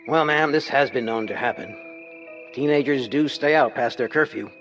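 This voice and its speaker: A man's voice: mid-range voice, moderate pace, fairly bright tone, lo-fi sound, soft background music, lightly acted. A man playing a dismissive, matter-of-fact police officer placating someone in a radio drama.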